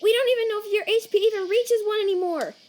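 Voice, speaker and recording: A woman voicing a nervous female monster scientist in a fan comic dub, earnest and anxious, with a halting stammer. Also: on the high side, steady tone, close to an everyday voice. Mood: alarmed, scolding. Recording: chirping crickets and night ambience behind.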